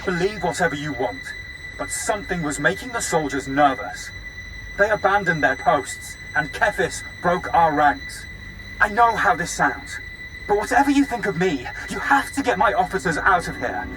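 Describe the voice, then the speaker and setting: A man's voice: high voice, lively intonation, normal speed, poor audio. A man playing a desperate, pleading alien army colonel in a video game. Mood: defensive, pleading. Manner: urgent, pleading, defensive. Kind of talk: scripted video game acting. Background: music.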